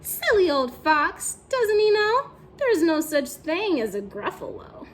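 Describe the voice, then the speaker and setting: A woman's voice: extremely high pitch, big pitch swings, slow, voice pushed up, exaggerated voice. A woman voicing a small, clever storybook mouse with sing-song, exaggerated politeness and a teasing manner. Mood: smug. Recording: faint background noise.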